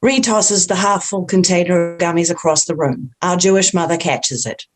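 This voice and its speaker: A woman's voice: fairly low pitch, normal speed. Woman reading stage directions. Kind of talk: table read narration.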